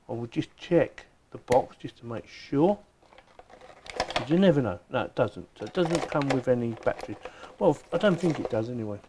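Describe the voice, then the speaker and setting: A man's voice: mid-range voice, noisy background. A man giving a casual, chatty unboxing and product demonstration, thinking aloud informally as he goes. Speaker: product reviewer.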